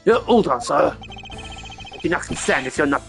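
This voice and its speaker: A man voicing a gravelly, haughty art dealer. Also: fairly high pitch, animated, measured pace. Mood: impatient. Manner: haughty, broken stilted English. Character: pompous male foreign art dealer, impatient. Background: game music.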